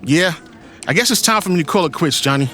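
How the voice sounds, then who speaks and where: A man's voice: a little high, expressive, fast, pushed and bright, a little hiss or hum. A man playing a lonely, wry, middle-aged police captain who narrates his story in an audio drama. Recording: faint music behind the voice.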